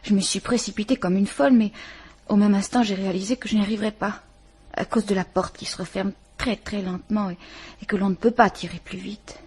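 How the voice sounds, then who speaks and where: An actress playing a breathy, shaken woman suspect. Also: mid-range voice, steady tone, darkish tone, lightly acted. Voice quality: breathy, trembling. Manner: halting, stammering, often near a whisper. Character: distraught woman questioned after a shooting. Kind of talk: vintage French radio drama. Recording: old radio play recording.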